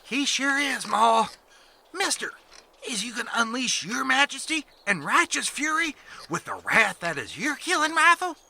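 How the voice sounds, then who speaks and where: Whiny little farm boy, very high and animated, eager for violence, audio comedy. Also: a little slow, creaky, slight background noise, full character voice. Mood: eager, awestruck. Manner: whiny, eager, hillbilly grammar. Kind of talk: comedy western audio drama.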